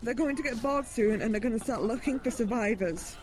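A woman acts out a pragmatic fantasy heroine with a very high voice. She is earnest, emphatic and insistent, a dark audio-drama survivor who will not give up. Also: brisk, a little hiss or hum, natural voice, rough recording. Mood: weary, practical. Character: determined, pragmatic female fantasy hero urging on a despairing comrade.

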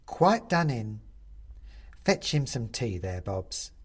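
A man's voice: mid-range voice, big pitch swings, takes it slowly, husky, exaggerated voice. A man voicing a tiny, prim Victorian china lady ghost in a storybook, solemn and bossy, relishing her words. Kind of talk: storybook character voice.

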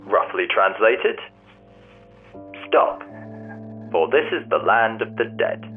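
Audio drama role: gentle, reserved male friend, lively swings in pitch, tinny telephone sound. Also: slow, creaky, full character voice. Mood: awed. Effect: telephone filter. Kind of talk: naturalistic audio drama phone dialogue. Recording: tinny phone line with music.

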